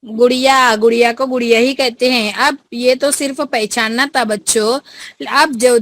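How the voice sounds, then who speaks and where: A woman's voice: fairly high pitch, fairly even intonation, normal speed, fairly bright tone, no echo. A woman teaching an online class to schoolchildren over a video call, coaxing them and repeating her prompts, with an Indian accent.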